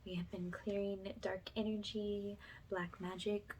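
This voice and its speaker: Soft, slow, even-toned woman whispering ASMR. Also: medium pitch, a little hiss or hum, poor audio. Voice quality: soft, breathy, often slipping into whisper. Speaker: ASMR energy healer. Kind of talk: ASMR guided energy healing.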